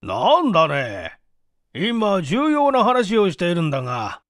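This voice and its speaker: A man voicing a weighty, insistent company president in a storybook reading, formal, pressing and deliberate. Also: high voice, wildly swooping pitch, fairly bright tone, dry recording, clean studio sound, heavily acted. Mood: displeased.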